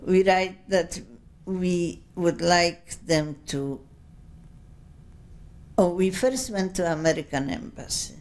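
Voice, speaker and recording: A woman in her seventies giving an oral history testimony, speaking English as a second language in a slow, rambling, anecdotal way full of asides. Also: on the low side, a little mellow. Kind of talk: unscripted recollection.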